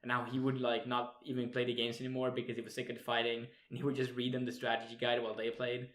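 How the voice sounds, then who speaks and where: A man's voice: medium pitch, completely flat delivery. Young male co-host, Swedish accent, casual podcast banter, giggly, profane, hesitant. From Sweden. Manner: laughs often, swears casually, halting with fillers. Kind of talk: casual chat.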